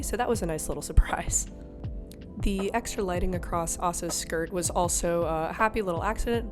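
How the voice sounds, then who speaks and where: A woman with a slightly raw throat after being sick, narrating her digital painting in a chatty, easygoing way with small laughs. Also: fairly low pitch. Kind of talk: casual voice-over commentary. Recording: voice over clear background music.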